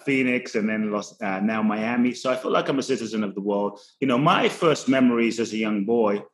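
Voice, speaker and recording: A man's voice: fairly low pitch, a little fast. A man from Ghana who grew up in London, a podcast guest telling his life story earnestly, with some restarts. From Ghana, raised in London. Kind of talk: reflective storytelling. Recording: roomy echo.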